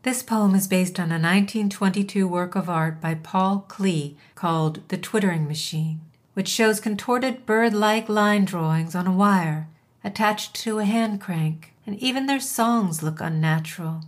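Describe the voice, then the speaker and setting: A woman poet introducing and reciting her own work with deliberate, measured phrasing and pauses. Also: mid-range voice. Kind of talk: poetry reading with scripted introductions.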